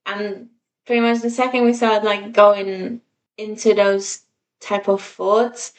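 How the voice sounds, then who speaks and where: A woman's mid-pitched voice, recorded a little away from the microphone. She co-hosts a podcast in an informal, rambling, self-deprecating way, chatting casually. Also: little pitch movement, takes it slowly, recorded from a distance, rough recording. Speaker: podcast co-host.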